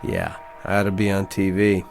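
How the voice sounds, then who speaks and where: A man's voice: low voice, big pitch swings, moderate pace, gravelly, faint music behind, full character voice. An actor playing a cocky, sarcastic younger wise guy who talks in wisecracking street slang in a screen drama.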